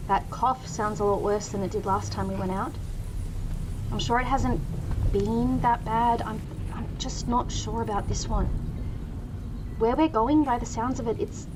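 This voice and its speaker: An actress playing a jaded, protective field worker in an audio drama, speaking in a frank, steady, conversational way. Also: female voice, on the high side, measured pace, background noise, lightly acted. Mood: concerned. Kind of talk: naturalistic audio drama dialogue.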